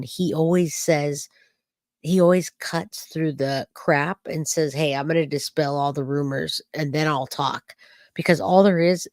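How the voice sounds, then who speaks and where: A woman's voice: sits low, no echo. A woman talks to her live chat about a clip, probing and skeptical, full of rhetorical questions. Her voice is slightly off from dust and allergies. The sound is dry and close, with no room around it. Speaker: true-crime streamer. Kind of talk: live-stream commentary to chat.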